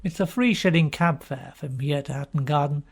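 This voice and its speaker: A man voicing a smug, wry gentleman who coolly needles a friend in an audiobook story, with dry, formal phrasing. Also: a little high, gravelly, slightly rough, voice pushed down. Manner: dry, formal, unhurried teasing. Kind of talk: dramatised audiobook character reading.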